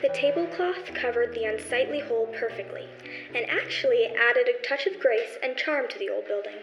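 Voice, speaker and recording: A woman's voice: a little high, moderate pace. A woman narrating a scripted story in the manner of an old-time radio show. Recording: roomy, dull recording with faint music.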